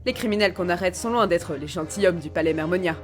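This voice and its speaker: A woman's voice: lowish voice, quick pace, faint music behind, lightly acted. A woman voicing a stern, poised police captain in a game fan dub. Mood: composed, authoritative. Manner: composed, authoritative.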